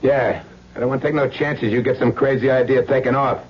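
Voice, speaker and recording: A hardened ex-convict played by a man in an old radio thriller, desperate and menacing. His voice is rough and husky, full of slangy threats, on a dull vintage broadcast recording. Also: mid-range voice, fairly even intonation, brisk, lightly acted. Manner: menacing, slangy tough-guy talk.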